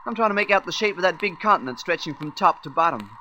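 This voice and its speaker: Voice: medium pitch, lively intonation, fast talker, bright, forceful tone, slight background noise. A performer playing a worried space crew member in a radio serial, with a hesitant, faltering manner.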